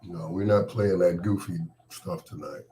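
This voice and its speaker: A man hosting an on-air monologue, talking with a cold and a partly lost voice, irritated and dismissive, his words often trailing off quietly. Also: sits low, unhurried. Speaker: host.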